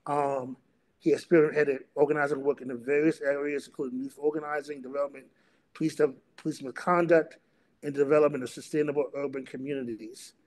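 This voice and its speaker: A middle-aged man moderating a panel and introducing its topic and speakers, in a halting delivery that often repeats words. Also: on the high side, steady tone, a little mellow. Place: remote video call.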